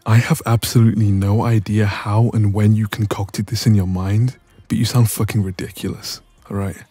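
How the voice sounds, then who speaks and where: Man voicing dominant young ex-boyfriend, smug, mellow, breathy, intimate audio roleplay, close dry recording. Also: fairly low pitch, level tone, normal speed, dry recording. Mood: irritated, confrontational. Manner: smug, teasing, casual. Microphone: close microphone.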